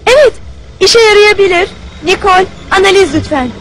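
A woman's voice: very high-pitched, moderate pace, fairly bright tone, soft background music, poor audio. A woman playing an earnest, thoughtful young female squirrel freedom fighter in a cartoon dub, speaking with care. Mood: worried, curious. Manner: earnest, careful. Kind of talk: animated cartoon dub acting.

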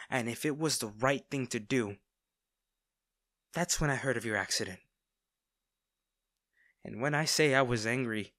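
A man acting as a smitten villain in an intimate audio roleplay, speaking directly to the listener with theatrical pauses. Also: medium pitch, fairly bright tone, no room sound, studio quality, close to an everyday voice. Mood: subdued, confessional, then angry. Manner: teasing, theatrical, pausing, addressing the listener intimately. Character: male villain, teasing, smitten captor of a hero.

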